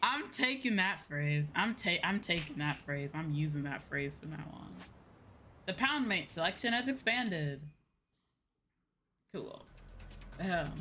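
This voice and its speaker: A woman streams a game with casual, reactive commentary, often repeating phrases. Her voice is bright and animated at an unhurried pace, with faint music behind. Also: on the low side. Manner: repeats phrases, amused reactions, reads lines aloud. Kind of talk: casual live gameplay commentary.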